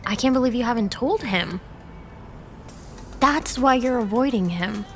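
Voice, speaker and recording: A woman's voice: on the high side, animated, unhurried, faint music behind. A woman playing a chatty young student confidante who prods with incredulous questions. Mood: prodding, incredulous. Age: young adult. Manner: prodding, incredulous.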